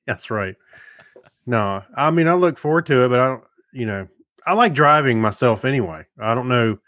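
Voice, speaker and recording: A man's voice: mid-range voice, lively intonation, normal speed, a bit bright, dry recording. Laughing, rambling man, dry close sound. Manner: rambling, laughs often, restarts. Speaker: podcast co-host. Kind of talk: casual banter.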